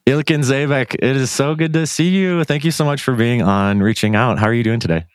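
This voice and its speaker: A man's voice: medium pitch, fairly quick, smooth, no echo, faint music behind. A man hosting a podcast in a casual, informal, gushing way, peppering his talk with "like". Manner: gushing, informal, frequent 'like'. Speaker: podcast host. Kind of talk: casual podcast interview chat.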